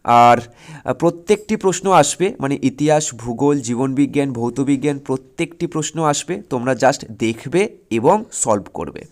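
A man coaching school students for exams in an emphatic, directive way, repeating his instructions and checking that they follow. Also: medium pitch. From West Bengal, India. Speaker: school exam tutor.

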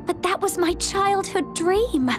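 A woman's voice: very high-pitched, slow, over music. A woman voicing an anxious, earnest young explorer in a video game. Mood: troubled.

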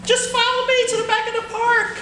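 Squeaky, bossy talking monkey. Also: male voice, level tone, brisk. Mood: impatient, commanding. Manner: exclaims demands impatiently, with attitude. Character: bossy, snappy talking monkey from outer space. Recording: distant camera in echoey, noisy room.

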